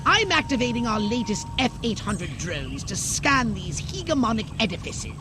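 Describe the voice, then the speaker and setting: Brief: a grandiose alien scientist, high and nasal, with music playing under him. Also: male voice, very animated. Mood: commanding. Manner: rapid, lecturing, grandiose. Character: shrill scheming male alien scientist mentor. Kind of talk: video game cutscene acting.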